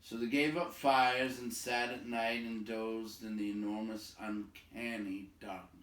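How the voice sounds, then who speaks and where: A man with a low voice narrates a fantasy tale aloud, taking his time. His delivery is dramatic and suspenseful, with emphatic pauses. He sounds set back from the microphone in a roomy space. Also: flat delivery, a bit bright. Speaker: storybook reader. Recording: roomy echo, distant microphone.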